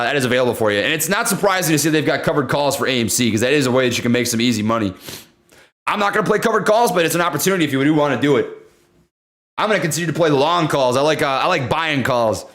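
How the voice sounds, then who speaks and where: A young man hosting a casual livestream, talking loudly and excitably while reading chat. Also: mid-range voice. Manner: loud, excitable, says he always yells. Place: home room.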